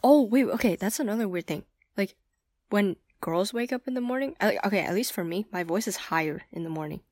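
A woman's voice: mid-range voice, lively intonation, brisk, a little mellow, no room sound, clean studio sound. A teenage girl co-hosting a casual podcast with friends, talking in a chatty way with many fillers and restarts. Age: teens. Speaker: podcast co-host. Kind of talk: casual chat among friends.

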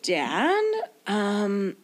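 A woman's voice: mid-range voice, sing-song, very slow. A young woman from Canada in casual conversation, laughing often and acting out what people said to her. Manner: laughs often, mimics people in quoted asides. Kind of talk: casual chat.

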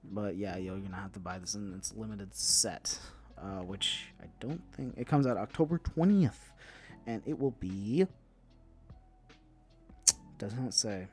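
Low-voiced man chatting about games. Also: unhurried, darkish tone, soft background music. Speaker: co-host of a gaming news podcast. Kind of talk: casual chat about gaming news.

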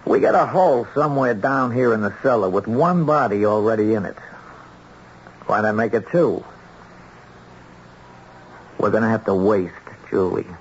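An actor playing a ruthless, scheming small-time crook with a blunt, folksy, pushy manner in a 1970s radio drama. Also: male voice, fairly low pitch, normal speed, pauses often, close to an everyday voice. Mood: cold, scheming. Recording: dull old radio broadcast, faint noise.

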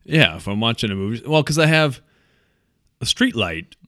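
A man's voice: mid-range voice, sing-song, moderate pace, sharp tone, no room sound, studio quality. A man co-hosting a podcast in casual chat, telling things in a laid-back, conversational way. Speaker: podcast host.

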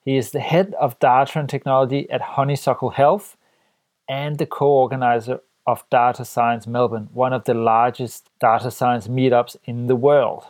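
A man hosting a podcast, reading a measured, clearly worded introduction before interviewing his guest. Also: medium pitch, steady tone, dry recording. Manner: measured, clearly read introduction, warm and formal. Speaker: podcast host. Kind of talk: scripted intro then interview.

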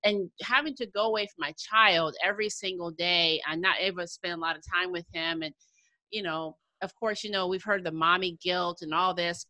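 A woman's voice: mid-range voice, pushed and bright, no room sound, low-quality recording. An American woman hosting a podcast in a conversational interview style. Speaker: podcast host.

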